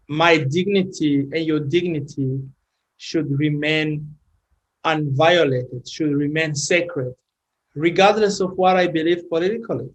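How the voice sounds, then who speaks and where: High-voiced thoughtful man from Rwanda. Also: poor audio. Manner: thoughtful, halting, repeats words. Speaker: interviewer. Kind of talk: interview conversation.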